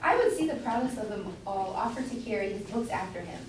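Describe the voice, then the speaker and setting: A woman's voice: mid-range voice, animated, fast talker, natural voice. A woman playing a chatty, opinionated citizen's wife in an amateur staged reading of an old English comedy. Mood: eager, bossy. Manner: chatty, bossy, archaic English. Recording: very echoey room, distant mic, faint noise.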